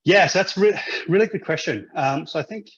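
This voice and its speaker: A man's voice: fairly high pitch, normal speed, highs cut off. A man from Hong Kong who grew up in Australia, a guest in a casual interview, who talks with many restarts and fillers. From Hong Kong, raised in Australia.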